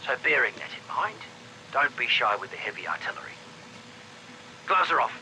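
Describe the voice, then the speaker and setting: A man's voice: mid-range voice, big pitch swings, normal speed, sharp tone, full character voice, rough recording. An actor playing a hard, greedy mercenary leader in a video game, curtly briefing his crew. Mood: commanding, greedy. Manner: curt, commanding. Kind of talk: game audio log, villain briefing. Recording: faint radio noise.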